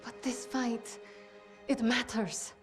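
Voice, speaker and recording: A woman's voice: fairly high pitch, little pitch movement, slow. Young Russian woman, Russian accent, dry and defiant, war game drama, music behind. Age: young adult. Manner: dry, sardonic. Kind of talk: dramatic video game acting.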